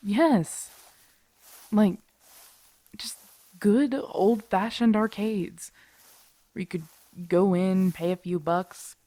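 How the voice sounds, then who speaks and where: A woman's voice: medium pitch, very slow, long pauses, warm tone, no echo. A woman acting in an audio roleplay as a grumpy, protective zombie apocalypse survivor, blunt and sardonic, talking intimately to her companion. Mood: wistful, animated.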